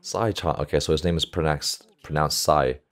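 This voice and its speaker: Very deep-voiced man reacting casually. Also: normal speed. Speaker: reaction video host, volleyball coach. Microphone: close desktop USB microphone.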